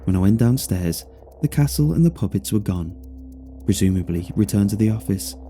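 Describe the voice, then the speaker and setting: A man's voice: on the low side, animated, moderate pace, dark tone, faint music behind. A man reading a scripted first-person horror story as its narrator.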